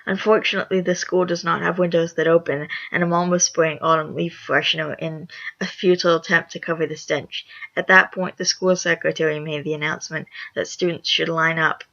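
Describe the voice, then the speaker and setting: Raspy, gravelly host reading reviews aloud. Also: mid-range voice, level tone, a little fast, bright, forceful tone, lo-fi sound. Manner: reads with small stumbles and self-corrections. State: hoarse after just waking up. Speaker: channel host.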